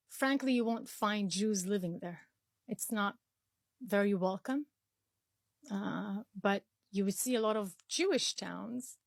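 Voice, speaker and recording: A woman's voice: medium pitch, no echo, studio quality. A young woman from Israel whose first language is Arabic, answering interview questions earnestly with frequent restarts and fillers. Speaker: interview guest.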